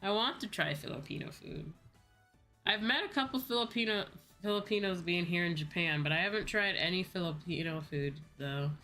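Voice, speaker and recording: Young woman, low voice, sleepy, rambling livestream host, casual, music in the background. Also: sharp tone. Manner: rambling, drawn-out, repeats phrases playfully.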